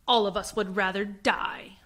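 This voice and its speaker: An actress playing a suspicious, sharp-tongued female fugitive in a radio science fiction drama, speaking in a crisp, pointed way. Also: mid-range voice, fairly even intonation, measured pace. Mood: suspicious, cutting.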